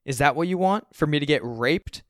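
A man's voice: on the high side, slow pace, no room sound, studio quality, pitched above the performer's own voice. A man voicing a dramatic, spoiled grown son in an audiobook, emphatic, indignant and pleading. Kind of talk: audiobook character dialogue.

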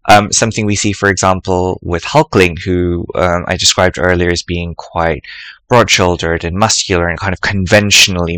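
Low, bright-toned male presenter; measured, reflective delivery with frequent pauses. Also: no echo, rough recording. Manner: thoughtful, measured, pauses often to choose words. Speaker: podcast presenter at an academic symposium. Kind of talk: reflective spoken essay.

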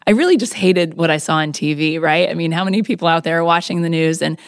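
Fifties woman from Florida, low-pitched, candidate answering questions earnestly, dry close mic. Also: quick pace, dry recording. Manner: earnest, conversational, frequent restarts. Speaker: political candidate. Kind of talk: interview answers.

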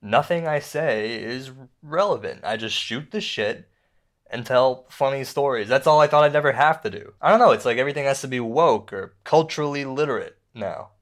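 An actor playing an insecure, self-pitying stand-up comedian in a radio play, speaking in a halting, conversational way. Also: male voice, medium pitch, natural voice. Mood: frustrated, defensive. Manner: halting, conversational, with repeated words. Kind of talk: naturalistic radio play dialogue.

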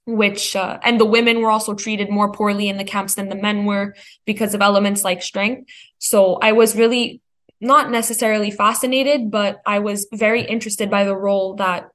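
Earnest, articulate teenage girl, medium pitch. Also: fairly bright tone. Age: teens. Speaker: high school student. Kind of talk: thoughtful discussion.

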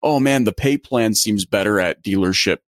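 A man in his late thirties hosting a casual interview, animated and often stumbling over his words. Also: lowish voice, moderate pace, no echo, clean studio sound. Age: 30s. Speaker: interview host.

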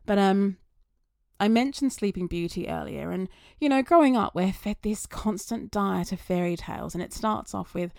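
A woman talking through her thoughts in a chatty, rambling monologue that wanders onto tangents, her voice getting slightly raspy. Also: mid-range voice, moderate pace, a little mellow, no echo, studio quality. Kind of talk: casual reflective monologue.

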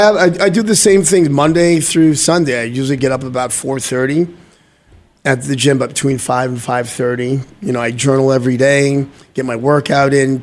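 An upbeat man talking as a guest in a relaxed interview. He is originally from Guyana and drops motivational sayings as he chats, in a medium-pitched voice. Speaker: guest entrepreneur. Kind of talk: casual interview chat.

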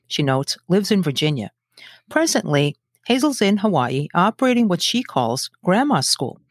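A woman's voice: fairly low pitch, no echo, clean studio sound. A middle-aged woman, hosting a podcast in a scripted, playful and teasing way. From Brooklyn, New York; born in Brazil. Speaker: podcast host. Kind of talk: scripted podcast introduction and interview.

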